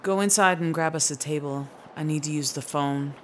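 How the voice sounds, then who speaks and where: An actress playing a guarded woman traveler with something to hide, low-key and hesitant, in a radio drama. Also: moderate pace, background noise, lightly acted. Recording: music under the dialogue.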